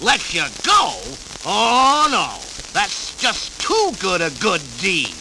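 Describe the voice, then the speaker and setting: A man's voice: high voice, wildly swooping pitch, slow pace, noisy background, full character voice. A man voicing a grumpy, scheming cartoon cat with a slobbery lisp and blustery delivery on a vintage children's record. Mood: sly, doubtful. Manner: blustery, exclamatory. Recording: vintage 78rpm record with music.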